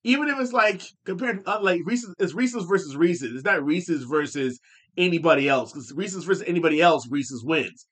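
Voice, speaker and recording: A podcast co-host with a slightly raised pitch talks in a loose, casual way. He rambles through his points, circling back and restarting sentences as he thinks aloud. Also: moderate pace, a bit bright. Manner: rambling, many fillers and restarts.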